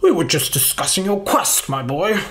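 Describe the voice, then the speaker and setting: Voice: mid-range voice, sing-song, normal speed, fairly bright tone. A storyteller voicing a pompous older male adventurer who rambles and falters while making things up. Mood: patronising.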